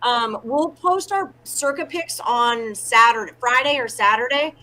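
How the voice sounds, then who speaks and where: A woman's voice: fairly high pitch, unhurried, bright, forceful tone, low-quality recording. A woman co-hosting a casual sports betting talk show, chatty and full of fillers. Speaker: co-host and picks proxy.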